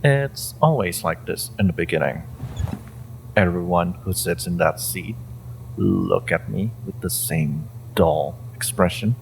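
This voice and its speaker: A man playing a suspicious, coldly patient agency interrogator in a dramatic audio roleplay, speaking deliberately and sardonically with pointed pauses. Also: low voice, animated, a little hiss or hum, poor audio. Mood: patient, disdainful.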